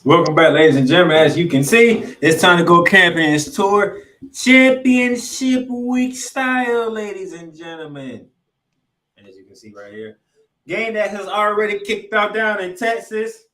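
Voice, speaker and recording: A man hosting a sports podcast, giving excitable football commentary in an announcer-like style with drawn-out, emphatic phrases. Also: sits high. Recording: echoey room, music underneath.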